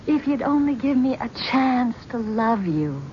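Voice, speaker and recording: An actress playing a devoted, wounded wife in a 1970s radio drama, tender and pleading. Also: female voice, fairly high pitch, big pitch swings, a little slow. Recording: dull old radio broadcast with faint hiss.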